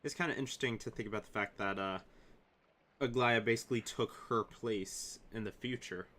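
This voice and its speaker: Low-voiced man streaming a game. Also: brisk, bright, forceful tone. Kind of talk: casual playthrough commentary. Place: indoors, voice over game audio. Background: game music.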